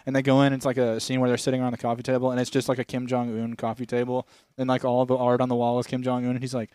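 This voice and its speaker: Young male podcast co-host, crude riffer, close dry mic, steady middle pitch. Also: rapid-fire, clean tone, no echo. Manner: swearing, riffing bits in joke voices. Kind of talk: crude comedic podcast banter. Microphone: studio podcast microphone.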